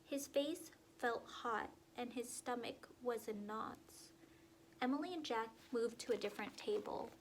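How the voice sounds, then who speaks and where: A woman reading a picture book aloud to young children, expressive and asking them questions along the way. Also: a little high, unhurried, slight background noise, rough recording. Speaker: storyteller for children.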